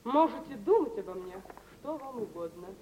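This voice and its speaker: A woman's voice: a little high, sing-song, normal speed, warm tone. An actress playing a proud, wounded, forsaken young woman in an old Russian radio play, with emotional theatrical delivery. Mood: hurt, indignant. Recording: muffled, noisy 1950s radio play recording.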